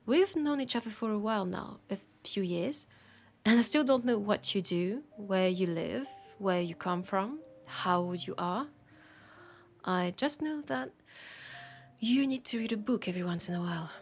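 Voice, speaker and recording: A woman with a French accent playing a teasing, curious bookshop keeper in an intimate audio roleplay, speaking softly and musingly and often slipping toward a whisper. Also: mid-range voice, expressive, normal speed, soft background music, natural voice. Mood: musing, gentle. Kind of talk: intimate audio roleplay, soft acted monologue.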